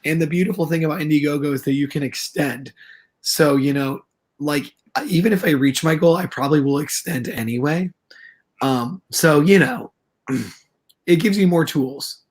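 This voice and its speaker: A male interview guest with a hoarse, husky voice, worn from a lot of talking. He speaks in an easygoing, friendly way, with plenty of fillers. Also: fairly high pitch, moderate pace. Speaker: guest, comic book creator. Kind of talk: casual interview chat.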